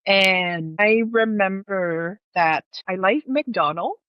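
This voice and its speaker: A woman's voice: medium pitch, lively intonation, slow pace, clean tone, no echo, lo-fi sound. A woman from Thailand with a Thai accent, telling personal stories casually as an interview guest in her second language.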